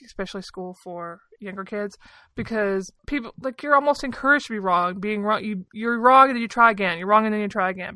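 A woman in her thirties with a bright, forward voice that often crackles into fry. She talks like a podcast co-host, candid and self-deprecating, in a casual storytelling flow. The recording is close and dry. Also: mid-range voice, no echo. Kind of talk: casual personal storytelling and chat.

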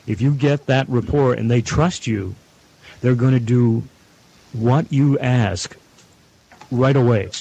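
A middle-aged man giving measured advice as a guest at a roundtable. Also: mid-range voice, creaky, dark tone, muffled recording, a little hiss or hum. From Canada. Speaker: audio producer, guest panelist.

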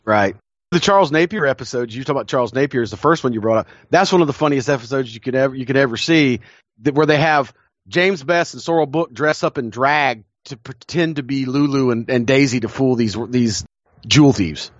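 A man's voice: mid-range voice, brisk, no room sound. Brief: male podcast co-host, eager storyteller, dry, slightly dull recording. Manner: enthusiastic storytelling, frequent restarts, laughs often. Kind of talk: casual chat.

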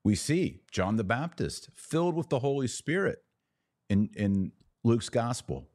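A man hosting a podcast, giving opinionated religious commentary in an emphatic, declamatory manner with pauses between short phrases. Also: mid-range voice, sing-song, darkish tone, dry recording, clean studio sound.

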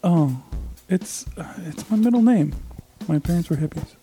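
Male co-host, high voice with big melodic swings, scripted humorous promo. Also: lively intonation, normal speed, mellow, faint music behind. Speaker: podcast co-host. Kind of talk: scripted comic podcast promo.

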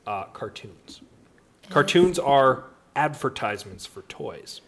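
A man's voice: mid-range voice, expressive, takes it slowly. Wry man bantering over background noise. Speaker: talk show co-host. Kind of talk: casual banter.

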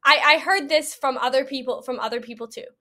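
A woman's voice: sits high, brisk, bright, forceful tone. A young adult woman speaking casually and earnestly as an interview guest.

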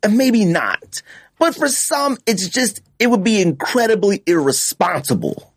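Animated man debating on a podcast. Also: high-pitched, a little slow, husky, no echo, clean studio sound. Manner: emphatic, repeats phrases for effect. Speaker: podcast host.

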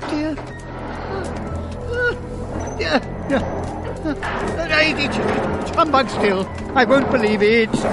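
An actor playing a crotchety, frightened old male miser in a theatrical radio drama, blustering and stammering excitably. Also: extremely high pitch, heavily acted. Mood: nervous, doubting. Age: older. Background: dramatic music.

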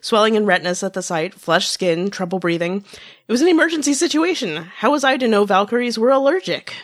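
A woman voicing a brisk, defensive female doctor in a fan fiction reading, insistent and clipped. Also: medium pitch, lively intonation, no room sound, studio quality, lightly acted. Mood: earnest explaining.

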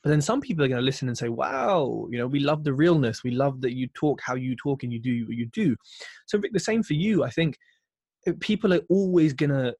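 A man with a British accent chats as an interviewed podcaster. His voice is slightly husky and sounds close and dry, at a middling pitch. He speaks in an informal, emphatic conversational style. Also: animated, brisk, darkish tone, no echo. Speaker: podcast host interviewed as guest.

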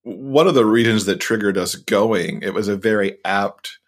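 A man with a lowish voice speaks as a podcast guest. He is informal and explanatory, talking in the relaxed language of a casual interview. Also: normal speed.

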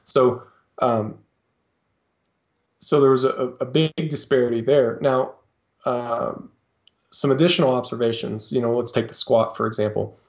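A man's voice: medium pitch, fairly even intonation, a little slow, long pauses. Man, hesitant coach on a podcast. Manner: hesitant, many fillers and restarts. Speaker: strength coach, podcast guest. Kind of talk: casual interview talk. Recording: slightly dull lo-fi sound.

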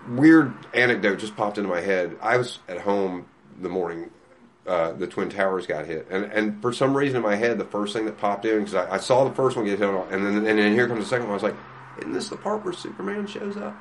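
A man's voice: fairly low pitch, lively intonation, brisk, slight background noise. A man who runs a comic shop, chatting casually with many restarts and frequent laughs. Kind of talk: casual chat.

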